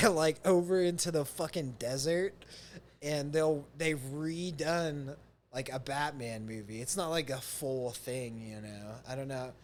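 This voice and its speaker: A man hosting a podcast, rambling and halting, full of filler words and laughter. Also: on the high side. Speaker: podcast host. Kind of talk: casual rambling podcast talk.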